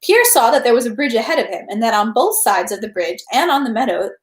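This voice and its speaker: Animated woman reading aloud in echoey room. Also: on the high side. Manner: animated, expressive. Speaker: read-aloud host. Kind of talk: animated storybook narration.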